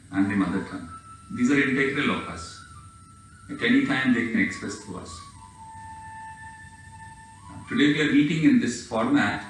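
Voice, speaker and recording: A man with an Indian accent, whose first language is Hindi, leading a yoga session in measured, reverent, slightly halting phrases. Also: on the low side. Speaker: yoga teacher. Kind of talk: guided yoga session over video. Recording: very echoey, distant mic, faint music.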